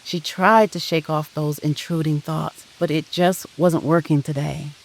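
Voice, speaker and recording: A narrator reading a scripted audio drama in a solemn, sentimental, deliberate way. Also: mid-range voice, fairly even intonation, vocal fry, poor audio.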